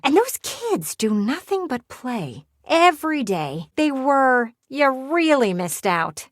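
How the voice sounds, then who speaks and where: A woman's voice: medium pitch, no room sound, close to an everyday voice. An actress voicing a talking window in a video game, a flirty yet wistful female people-watcher with a folksy, teasing, theatrical drawl. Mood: gossipy, wistful. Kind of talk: video game character voice acting. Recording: close, dry studio recording.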